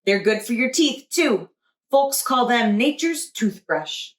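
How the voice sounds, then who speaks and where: A woman voicing a kindly, patient storybook grandfather with an easygoing, gently teasing manner. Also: fairly high pitch, animated, slow. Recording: roomy echo.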